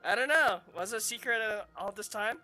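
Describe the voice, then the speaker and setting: Bright-voiced gamer commenting casually. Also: medium pitch, lively intonation, a little fast. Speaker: gamer commenting on a story quest playthrough. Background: game music.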